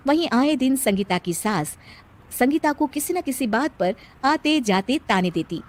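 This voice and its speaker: A woman's voice: high-pitched, animated, measured pace. A woman narrating a scripted story for a cartoon video.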